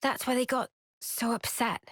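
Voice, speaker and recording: A woman's voice: a little high, level tone, moderate pace, fairly bright tone, no room sound, studio quality. An actress playing an earnest, inquisitive young female soldier in a video game, with a questioning manner. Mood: curious. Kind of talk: video game cutscene acting. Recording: music behind the voice.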